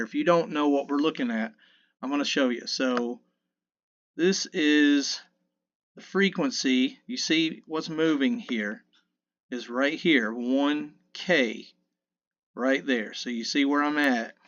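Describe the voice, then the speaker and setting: A man's voice: takes it slowly, a bit bright, dull audio. Folksy man explaining his mixer. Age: 50s. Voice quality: low mid voice. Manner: rambling, self-correcting, folksy. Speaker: sound engineer teaching mixing. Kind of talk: casual screen-recorded tutorial. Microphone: dynamic vocal mic.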